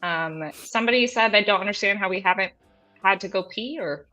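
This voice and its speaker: Bright, upbeat woman co-host. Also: medium pitch, soft background music, rough recording. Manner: upbeat, reads viewer comments aloud, quick apologies. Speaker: podcast co-host. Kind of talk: casual live-stream chat.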